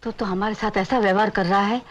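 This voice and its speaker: A woman's voice: mid-range voice, steady tone, brisk, lightly acted. An actress playing a pious older mother in a period drama, scolding her grown son with emotional reproach. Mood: bewildered reproach. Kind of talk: Hindi period drama acting.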